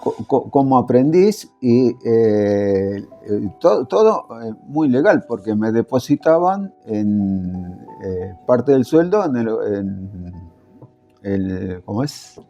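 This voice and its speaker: A man's voice: fairly low pitch, very animated, takes it slowly, dark tone, muffled recording, soft background music. An older Italian-born man recalling his working years in a casual interview, hesitant and full of fillers. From Italy. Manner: hesitant, many fillers and restarts. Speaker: interviewee, former factory worker.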